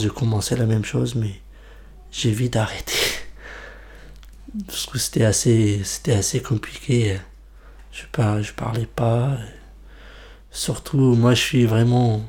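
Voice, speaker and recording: A young man from Iraqi Kurdistan whose first language is Kurmanji, telling his story in a halting way, with many restarts. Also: medium pitch, mellow. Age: young adult. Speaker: interviewee. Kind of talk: personal testimony.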